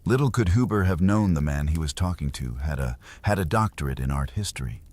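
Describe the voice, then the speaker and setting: A man narrating history in an unhurried, conversational way, as if explaining to a listener. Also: very deep voice, lively intonation, a little mellow, dry recording. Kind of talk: documentary history storytelling.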